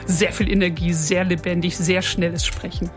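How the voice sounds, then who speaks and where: A woman who works as a voice coach, telling an anecdote as a radio interview guest in a lively way. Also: lowish voice, normal speed, over music. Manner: lively storytelling. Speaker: voice and singing coach.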